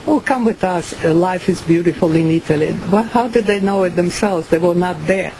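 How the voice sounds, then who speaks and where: An older woman from Ukraine with a Ukrainian accent, giving slow, halting personal testimony full of fillers and pauses. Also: lowish voice, warm tone, slight background noise, rough recording. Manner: many pauses and fillers, non-native phrasing. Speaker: survivor giving a talk.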